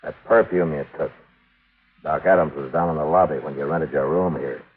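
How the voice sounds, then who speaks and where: A man playing a stern, steady American frontier marshal in an old radio western, speaking in terse commands and questions. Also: extremely low pitch, normal speed, a little hiss or hum. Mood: stern, probing. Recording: muffled, narrow-band old radio recording.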